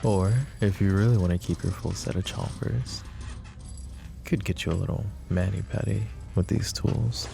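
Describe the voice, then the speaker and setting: A man voicing a possessive, menacing mafia boss in a roleplay audio drama, talking slow and taunting, with sweet pet names. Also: low voice, fairly even intonation. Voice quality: soft, smooth and taunting. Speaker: voice actor.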